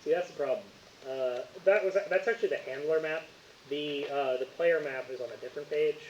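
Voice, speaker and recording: A man's voice: on the high side, a little fast, darkish tone. A man acting as game master, reading out case details and refereeing a tabletop roleplaying game. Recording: muffled, hissy, roomy home recording.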